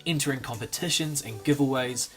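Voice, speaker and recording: A man giving a scripted narration for a promotional video, reading in an even, upbeat way. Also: medium pitch, brisk, faint music behind.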